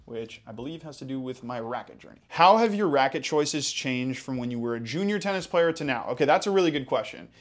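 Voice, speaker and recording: A young man with an American accent, hosting a casual Q&A video and talking in a chatty, enthusiastic way with casual fillers. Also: medium pitch. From Hawaii. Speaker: tennis channel host. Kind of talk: casual Q&A vlog.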